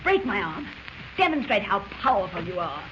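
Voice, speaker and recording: A woman's voice: mid-range voice, sing-song, moderate pace, lightly acted. An actress playing a fearless, sarcastic American woman in 1940s radio drama. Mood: defiant. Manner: defiant, sarcastic, indignant. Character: defiant, fearless American young woman held captive. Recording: muffled, hissy old radio recording.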